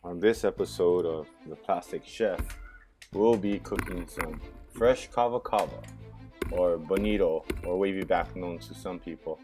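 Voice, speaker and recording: Deep-voiced man giving cooking steps. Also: unhurried, over music. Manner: friendly, clear, recipe-style instructions. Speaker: cooking segment host. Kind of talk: instructional cooking voice-over.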